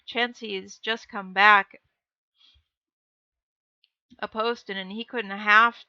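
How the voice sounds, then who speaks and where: A woman's voice: mid-range voice, steady tone, slow, bright, forceful tone, smooth, no echo. A woman voicing an alarmed, gossipy rural housekeeper talking in rustic dialect in a horror story. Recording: dull lo-fi dry recording.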